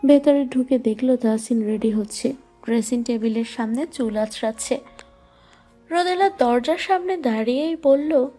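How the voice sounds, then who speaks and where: Very mellow, higher-voiced woman narrator. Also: moderate pace. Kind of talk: scripted romantic story narration. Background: faint music.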